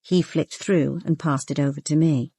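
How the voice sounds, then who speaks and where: A woman's voice: sits low, fairly even intonation, moderate pace, warm tone, husky, dry recording. An actress playing a composed, observant woman detective who narrates a radio drama in the first person. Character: observant, guarded young woman detective narrating her case.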